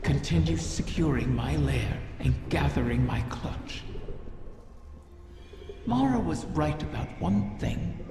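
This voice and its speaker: A woman playing the spirit of an ancient, proud, cunning female dragon in a video game, speaking slowly and formally with breathy, gravelly edges. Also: deep voice, very animated, over music, heavily acted. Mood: grave, brooding, then solemn. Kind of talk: dramatic video game monologue. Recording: cavernous echo, long reverb, noisy ambience.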